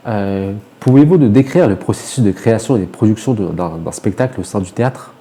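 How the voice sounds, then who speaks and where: A man hosts a radio interview in a lowish voice. His tone is soft and mellow as he lays out his questions for a guest. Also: lively intonation, a little fast.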